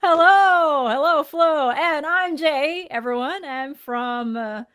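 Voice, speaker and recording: High-voiced chatty podcast co-host. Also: female voice, slow, fairly bright tone, dry recording. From Philippines. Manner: chatty, giggly, does silly sound effects. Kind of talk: casual live podcast chat.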